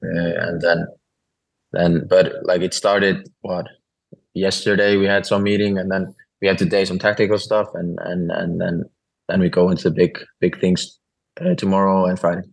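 A young Icelandic footballer with an Icelandic accent, answering interview questions in halting, plain-spoken English with many restarts. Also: male voice, sits low, little pitch movement, creaky, husky, rough recording. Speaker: professional footballer guest.

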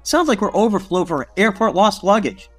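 A man's voice: high-pitched, sing-song, normal speed, soft background music. An actor playing a chatty, picky raider henchman in a comic radio skit. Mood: cocky, critical.

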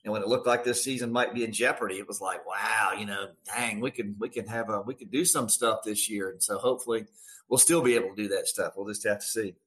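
A man's voice: fairly low pitch, steady tone, quick pace, fairly bright tone. A middle-aged man, a radio announcer, chatting casually as an interview guest in a folksy, emphatic way, repeating words for stress. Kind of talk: casual sports interview chat.

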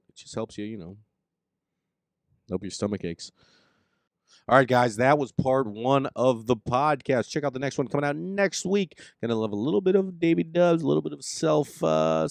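A laid-back man hosting a podcast, his voice sitting fairly low and sounding close and dry. He rambles along with fillers and the odd swear word, easygoing and unpolished. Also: gravelly, darkish tone, dry recording. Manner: loose, rambling, filler-heavy, swears casually. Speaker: podcast host. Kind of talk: casual podcast chat.

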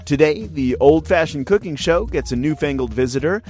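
A man's voice: on the high side, animated, normal speed, a little mellow, soft background music. A man hosting a podcast, reading a scripted, upbeat radio-show introduction. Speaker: podcast host.

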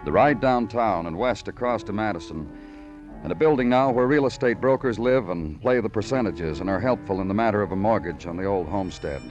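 Actor as a brooding low-voiced radio noir detective. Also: male voice, lively intonation, a little fast, a little mellow, highs cut off, lightly acted. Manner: clipped, brooding, lyrical narration. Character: world-weary, poetic police homicide detective narrating his case. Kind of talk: 1940s-50s radio noir acting. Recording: old radio recording with music.

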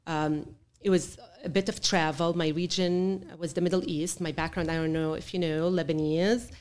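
Middle-aged woman guest, low voice, halting delivery full of fillers. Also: fairly even intonation, darkish tone. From born in Chicago, raised in Beirut. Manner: halting, with many fillers and restarts. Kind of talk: interview storytelling.

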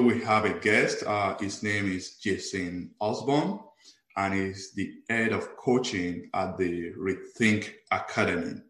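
A man's voice: lowish voice, a bit bright. A man hosting a podcast as a life coach, enthusiastic and earnest, with some hesitations. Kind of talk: upbeat podcast interview. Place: lives in Houston. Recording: roomy echo.

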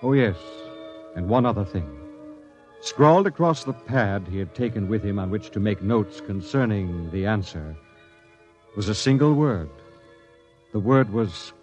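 Dull vintage radio recording, faint music, stern commanding captain, formal measured storyteller. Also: male voice, lowish voice, animated, darkish tone. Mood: grim storytelling. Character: stern, commanding expedition captain recounting a grim tale. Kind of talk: old-time radio drama narration and acting.

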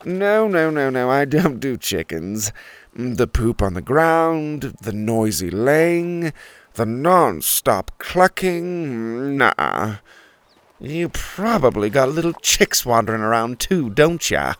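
A man's voice: mid-range voice, sing-song, a bit bright, dry recording. Man doing a snooty grumbling turkey. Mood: scornful. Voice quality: haughty, sneering, drawn-out delivery. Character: snooty grumpy male turkey, complaining, pompous.

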